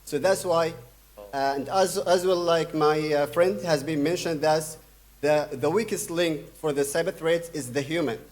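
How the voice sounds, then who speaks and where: Young male keynote speaker from Sudan, slightly high voice, hesitant delivery full of fillers. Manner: halting, many fillers and restarts. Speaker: conference speaker. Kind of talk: conference keynote talk.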